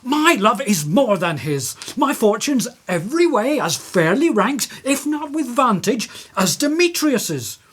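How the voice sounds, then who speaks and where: A woman's voice: fairly high pitch, big pitch swings, a little slow, hardly any pauses, slightly rough, exaggerated voice. A woman playing an ardent, proud young male lover, declaiming verse in a Shakespearean radio play. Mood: proud, defiant. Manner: declamatory, poetic verse delivery.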